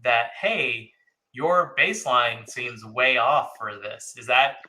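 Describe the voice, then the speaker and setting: A man hosting an interview in a casual, upbeat way, often lifting his voice for quick reactions. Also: medium pitch, over a noisy crowd. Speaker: host.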